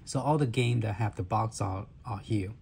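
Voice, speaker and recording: A man with a hoarse voice, walking through a gaming handheld's menus in a halting casual way, with many fillers and restarts. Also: fairly low pitch, darkish tone. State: hoarse, clearing his throat. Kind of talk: casual walkthrough demo.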